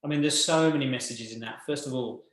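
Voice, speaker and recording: A man's voice: mid-range voice, quick pace. A man hosting an interview, encouraging and praising his guest. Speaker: host. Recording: very echoey room.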